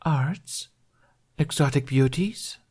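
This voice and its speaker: Male narrator as seductive mermaid woman, raised pitch, coy, purring, teasing, fantasy audiobook. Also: slow pace, a little mellow, breathy edge. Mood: sly, teasing.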